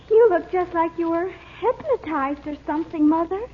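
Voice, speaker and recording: A woman's voice: extremely high pitch, a little slow. An actress playing an anxious young daughter who coaxes her mother in a worried way. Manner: worried, coaxing. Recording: thin, tinny old radio recording with hiss.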